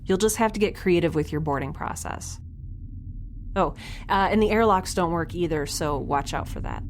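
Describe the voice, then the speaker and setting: A woman acts a young lone spacefarer in a sci-fi audio drama. Her voice sits slightly low, and she talks in a casual, wry way with flustered hesitations. A faint noise sits behind her. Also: lively intonation, normal speed. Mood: wry, bluffing. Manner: casual, sarcastic, hesitant, bluffing. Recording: faint ship ambience noise.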